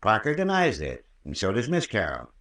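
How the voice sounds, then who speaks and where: Brief: soothing rural American country doctor, low voice, unhurried old-radio storytelling, roomy distant recording. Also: male voice, huge pitch swings, a bit bright, full character voice. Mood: patient recounting. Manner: folksy, unhurried, patient storytelling. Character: folksy country doctor, soothing, long-winded peacemaker. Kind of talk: old-time radio drama acting.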